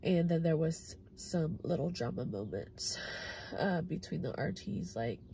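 A hoarse young woman who is losing her voice, chatting casually about her clinical rotation as a respiratory therapy student, recorded on a phone in a parked car. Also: deep voice, lively intonation, a little slow, mellow, slight background noise. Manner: chatty, retells scenes with quoted dialogue. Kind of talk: casual vlog recap. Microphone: phone camera at arm's length.

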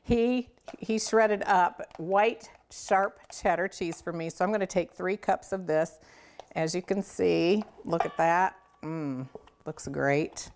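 A woman's voice: lowish voice, slow pace, darkish tone, a little hiss or hum. A middle-aged woman hosting a casual cooking demonstration, chatty, folksy and enthusiastic as she talks straight to viewers. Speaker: cooking show host. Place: home kitchen, indoors.

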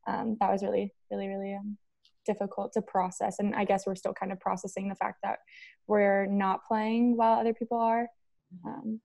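An American woman in her early twenties from Texas, answering casually and haltingly on a video call. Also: medium pitch, level tone, gravelly, mellow, no room sound. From Texas, USA. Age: early 20s. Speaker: college volleyball player, interview guest. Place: indoor room on a video call.

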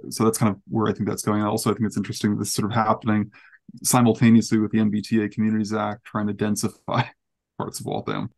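A man's voice: fairly low pitch, fast, vocal fry. A man in his twenties casually recapping a meeting as a panelist, tentative and correcting himself as he goes. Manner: tentative, hedging, self-correcting. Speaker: panelist reporting on council meetings. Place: indoor room on video call. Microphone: headset on video call.

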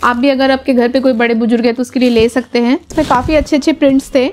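A woman's voice: high voice, steady tone, fast, darkish tone, slight background noise. A woman, chattily presenting a casual shopping haul and explaining to viewers. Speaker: travel vlogger. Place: bedroom at home, indoors.

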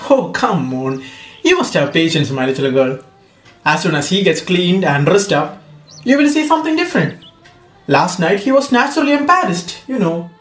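Guy as fatherly ironmaster in a radio play, coaxing, highish voice, echoey room. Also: big pitch swings, normal speed, fairly bright tone, faint music behind. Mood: reassuring. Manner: earnest, coaxing. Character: hearty, insistent ironmaster, a fatherly middle-aged man. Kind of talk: student radio drama acting.

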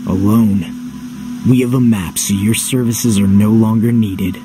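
Low-voiced cynical swordsman played by a man. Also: steady tone, unhurried, natural voice. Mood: dismissive, dry. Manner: curt, dry, suspicious. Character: cynical, gruff young male swordsman hero. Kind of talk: video game voice acting. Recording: music behind.

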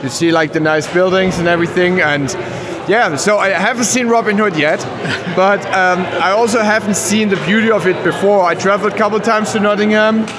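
A man's voice: high-pitched, fairly even intonation, fairly quick, a crowd in the background. A man in his thirties from Germany with a German accent, a wrestler giving a confident, earnest backstage interview in English, his second language, sometimes searching for words. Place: backstage at a wrestling event, before a sponsor wall. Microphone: handheld mic held by the interviewer.

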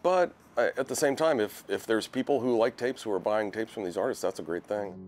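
A man's voice: fairly low pitch, a little fast, darkish tone, slight background noise. A middle-aged man with an American accent, chatting casually as an interviewee. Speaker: writer, interviewee. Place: music shop, indoors.

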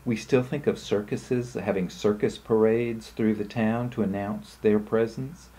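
A man lectures over slides in a low, soft-edged voice with a husky grain and a mellow, dark tone. He explains things in measured phrases, pausing as he goes. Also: steady tone, low-quality recording.

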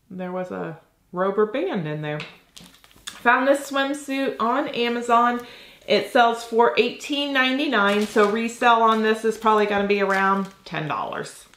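Slow, chatty woman in an echoey room. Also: on the high side, pushed and bright. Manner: chatty, thinking aloud while handling items. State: slightly nasal from a cold. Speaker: reseller vlogger. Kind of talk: casual unboxing chat. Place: home office, indoors.

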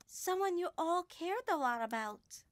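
A woman voicing a gentle, earnest young woman in a full-cast audiobook, speaking softly. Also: very high-pitched, lively intonation, unhurried, no room sound, studio quality.

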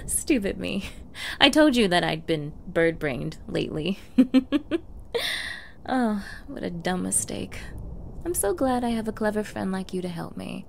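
A woman playing a flustered, clingy young yandere in an ASMR girlfriend roleplay, with a coy, stammering manner and feigned ditzy innocence. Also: mid-range voice. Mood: playfully ditzy. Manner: stammering, coy, eager, breathy asides. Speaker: roleplay voice performer. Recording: faint background noise.

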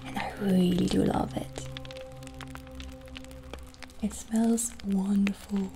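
A woman in her thirties doing a gentle, soft-spoken ASMR roleplay as a witch shopkeeper, unhurried and often slipping close to a whisper, in a small room. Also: medium pitch, fairly even intonation, creaky. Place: small dim indoor room set as a candlelit shop. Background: original music.

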